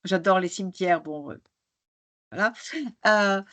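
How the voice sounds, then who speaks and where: A woman's voice: fairly low pitch, a little slow, fairly bright tone. A woman speaking on a conference panel who grew up abroad. She talks with passion but hesitates, restarting often. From born in Algeria, grew up in Argentina. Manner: hesitant, many restarts, passionate about injustice. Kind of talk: panel discussion answer.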